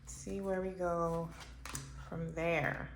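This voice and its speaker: A woman doing a casual pick-a-card tarot reading for her channel. She thinks aloud slowly, with pauses and fillers. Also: lowish voice, little pitch movement. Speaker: tarot reader. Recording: echoey room with faint background noise.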